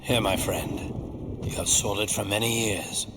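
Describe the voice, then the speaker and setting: An actor playing a calm, loyal, grave ranger, speaking in a measured, earnest way. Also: male voice, on the low side, heavy background noise, close to an everyday voice. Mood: grave, gentle.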